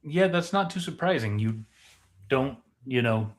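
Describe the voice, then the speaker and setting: A man's voice: mid-range voice, lively intonation, a little slow. A man co-hosting a podcast, talking in casual conversation. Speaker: podcast co-host. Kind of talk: casual chat.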